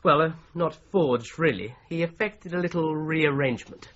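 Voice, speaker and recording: An actor playing a shrewd, methodical solicitor in a 1980s radio drama, with an English accent and a precise, measured, probing manner. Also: male voice, fairly high pitch, fairly bright tone, lightly acted. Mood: thoughtful. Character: shrewd, methodical male solicitor turned amateur investigator. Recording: slightly dull 1980s radio recording.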